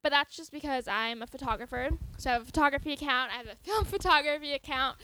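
A woman's voice: high voice, quick pace, bright, forceful tone, a little hiss or hum. A twenty-year-old woman co-hosting a podcast, chatting casually in a bubbly, giggly way with lots of "like.". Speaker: podcast co-host. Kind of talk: casual chat.

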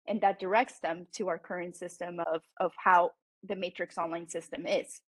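A woman's voice: fairly low pitch, steady tone, normal speed, fairly bright tone, dry recording. A woman who grew up Colombian American with Spanish as her first language, speaking earnestly as a founder on a podcast and often repeating words as she restarts. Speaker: founder and CEO of a tutoring company. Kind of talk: podcast guest explaining her business.

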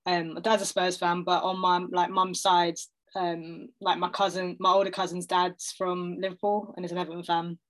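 Guest: London woman in her thirties, lowish voice, chatty and self-deprecating, laughs a lot. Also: little pitch movement, moderate pace. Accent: London English. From North London, England. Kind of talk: casual interview chat.

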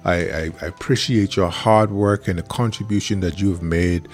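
A man's voice: sits low, fairly even intonation, moderate pace, faint music behind. A man hosting a podcast, friendly and prone to stammering restarts. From the Bahamas. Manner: warm, repeats himself, stammering restarts. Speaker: podcast host. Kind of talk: casual interview talk.

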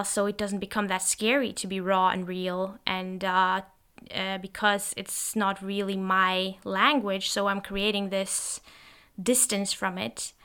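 A young Norwegian woman, tired, talking in a hesitant, reflective way, with many pauses and fillers. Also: mid-range voice, a bit bright, smooth. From Norway. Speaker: podcast host. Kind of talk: casual solo monologue. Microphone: testing a new microphone.

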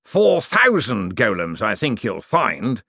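An ancient ghost of a professor, acted out by a male audiobook reader, savours his words in drawn-out phrases. He is smug and wistful, with a flirtatious streak, and his voice swings about in a dry, close recording. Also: mid-range voice, big pitch swings, a bit bright, no echo. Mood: smug, cheerful. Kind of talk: audiobook character voice.

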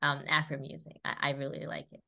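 Guest, female, English, slightly low voice, eager but hesitant and searching for words. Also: little pitch movement, fast talker, vocal fry, pushed and bright. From South Korea. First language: Korean. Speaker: guest dancer. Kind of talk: casual interview chat.

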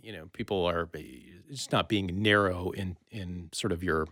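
A man's voice: sits low, a little slow, sharp tone, no room sound, clean studio sound. A man hosting a podcast, speaking in a casual, conversational interview style.

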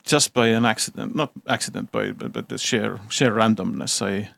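A man's voice: fairly low pitch, steady tone, normal speed, vocal fry, fairly bright tone, dry recording. A man from Estonia with an Estonian accent, a radio-amateur guest answering questions casually, often stammering at the start of sentences.

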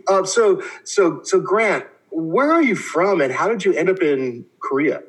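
A man's voice: high voice, lively intonation, a little slow, breathy edge. A man co-hosting a podcast interview, asking questions with stammering repeats. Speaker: co-host.

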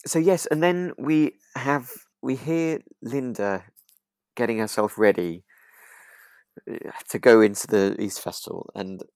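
Male podcast co-host, gravelly fry, mid pitch, casual, rambling, hesitant delivery. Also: unhurried, darkish tone, no echo. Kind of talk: casual chat reviewing a radio drama.

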